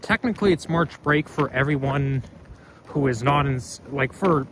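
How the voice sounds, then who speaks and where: A young man with a loud voice, rambling and correcting himself as he talks through a casual walking vlog, recorded on a phone camera outdoors. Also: mid-range voice, normal speed. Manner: rambling, chatty, self-correcting. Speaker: vlogger. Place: outdoors on a street. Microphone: phone camera held while walking. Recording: outdoor street noise.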